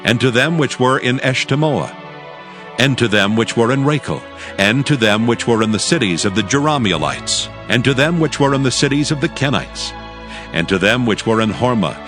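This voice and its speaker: A man narrating dramatized scripture in a solemn, measured, formal way. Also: medium pitch, animated. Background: music.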